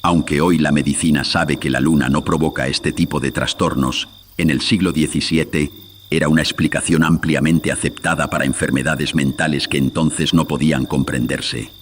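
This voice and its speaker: A man's voice: a bass voice, steady tone, normal speed, slight background noise. A man giving solemn, literary narration of a horror tale, his voice processed with studio filters. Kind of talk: scripted horror story narration.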